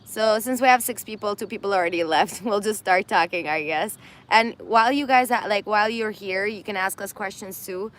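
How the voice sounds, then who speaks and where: A woman's voice: medium pitch, fairly quick, fairly bright tone. A woman hosting a casual live stream, laughing often and losing her voice. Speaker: host of an Instagram live. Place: indoors in Armenia.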